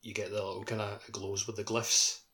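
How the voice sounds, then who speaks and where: Low-voiced man in casual chat. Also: flat delivery, brisk, a crowd in the background. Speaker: podcast co-host.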